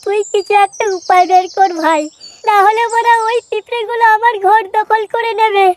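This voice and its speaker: A man voicing an aggrieved, foul-mouthed cartoon man with a West Bengali accent, whiny and indignant, through a pitched-up effect. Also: steady tone, moderate pace. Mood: whining. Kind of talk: crude comedy cartoon dialogue.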